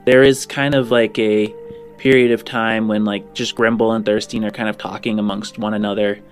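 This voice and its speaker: Man, low pitch, game master, halting improvised narration, faint background music. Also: little pitch movement. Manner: halting, searching for words.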